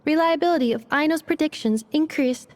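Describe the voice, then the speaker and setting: A woman's voice: sits high, a little fast, a little hiss or hum, lightly acted. A woman voicing a polite, analytical robot assistant in a video game, precise and matter-of-fact. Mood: polite, factual.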